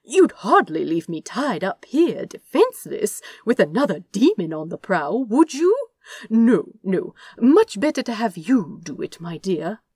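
Woman doing a prim, fussy British angel, soft and husky. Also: mid-range voice, very animated, measured pace, dry recording, studio quality, full character voice. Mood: coaxing. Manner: prim, polite, old-fashioned phrasing. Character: prim fussy male angel, genteel and polite.

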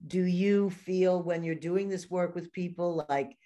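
Chatty New York woman, low voice. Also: fairly even intonation, measured pace, mellow. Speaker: podcast host. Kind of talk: casual interview conversation.

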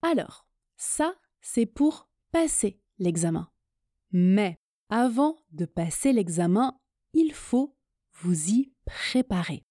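A French teacher hosts her podcast lesson, addressing listeners directly in a clear, encouraging way. Her voice is warm and mellow, and she takes her time. The recording is dry and close, with no room sound. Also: medium pitch, big pitch swings, takes it slowly, no room sound. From France.

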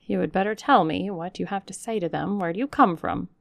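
A woman voicing a blunt, suspicious old female servant who speaks in a broad with a gruff, distrustful manner. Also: lowish voice, brisk, no room sound. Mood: suspicious, stern. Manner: gruff, distrustful, plain-spoken.

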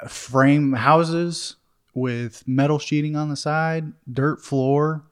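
A man's voice: a little high, steady tone, slow, clean tone, no room sound, clean studio sound. A young man from Costa Rica, a podcast guest telling candid stories in casual talk and laughing often. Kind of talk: casual conversation.

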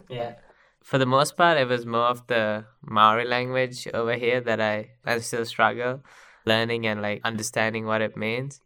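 This young man from Sri Lanka, a native Sinhalese speaker, talks in a relaxed, filler-heavy way on a student podcast. His voice is bright and crisply clear, with a fairly flat, even delivery. It sounds dry and close, with no room around it. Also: on the low side, dry recording. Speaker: guest. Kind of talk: casual podcast chat.

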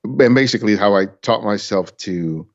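A man with a fairly low voice talks through a casual podcast conversation. He takes his time, choosing a slow and deliberate pace. His audio is dull and lo-fi, as if the top end were missing. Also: expressive, gravelly.

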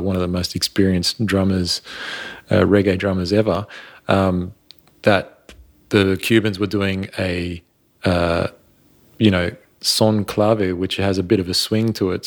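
A man talks shop as a guest in a casual interview. His voice sits low with a gravelly edge. He rambles easily and laughs often. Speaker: sound engineer guest.

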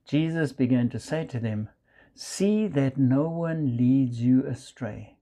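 A man with a South African accent, preaching a measured sermon and quoting scripture. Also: mid-range voice, lively intonation, dark tone. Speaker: pastor.

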